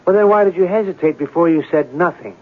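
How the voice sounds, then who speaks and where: An actor playing an inquisitive, romantic writer, probing and deliberate, in a 1970s radio mystery drama. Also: male voice, a little high, lightly acted. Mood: curious, insistent. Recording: noisy, narrow-band old radio broadcast.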